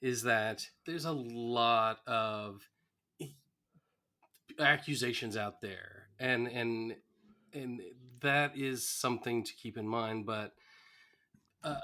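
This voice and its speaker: A man's voice: medium pitch, slow, sharp tone. A man co-hosting a podcast, chatting casually and adding his opinions. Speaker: podcast co-host. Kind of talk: casual chat.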